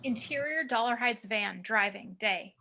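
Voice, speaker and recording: A woman's voice: on the high side, measured pace, creaky, pushed and bright, slight background noise. A woman reading screenplay action descriptions as scripted narration for a table read.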